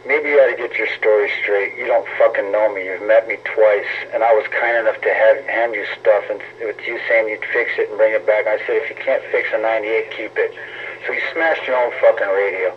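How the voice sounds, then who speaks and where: Male CB operator, narrow tinny transmission with light noise; sarcastic, foul-mouthed, needling in casual argument. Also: fairly low pitch. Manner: profane, taunting, sarcastic. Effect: CB radio transmission. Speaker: CB radio operator in an on-air argument. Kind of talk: heated casual trash talk over CB radio. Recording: tinny narrow-band CB radio, noisy static.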